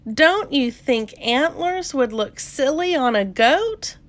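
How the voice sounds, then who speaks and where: A kindly young doe-to-be from a children's story, acted out by a woman. The fawn talks sensibly and reassuringly, with a gentle lilt. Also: high-pitched, animated, slow, pitched above the performer's own voice. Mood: amused.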